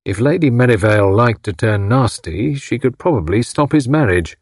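A man voicing a solemn police inspector in an audiobook, speaking in a courteous, firm, measured and official way. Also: mid-range voice, expressive, dry recording, clean studio sound, pitched above the performer's own voice. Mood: firm, explanatory. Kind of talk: audiobook character acting.

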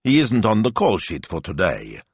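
A man's voice: lowish voice, wildly swooping pitch, normal speed, breathy edge, dry recording, pitched above the performer's own voice, heavily acted. A man voicing a grumpy, self-important middle-aged boss who grumbles bossily in an audiobook. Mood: suspicious. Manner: grumbling, bossy, indignant.

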